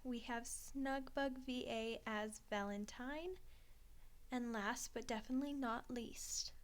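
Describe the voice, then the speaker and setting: A soft-spoken woman, an ASMR creator and voice actor, giving informal, appreciative thank-you credits. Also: fairly high pitch, slow pace.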